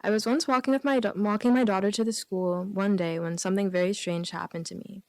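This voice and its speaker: A woman's voice: medium pitch, steady tone, fast talker, no room sound, poor audio. A woman guest on a radio comedy show, reading jokes aloud and bantering casually. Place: radio studio.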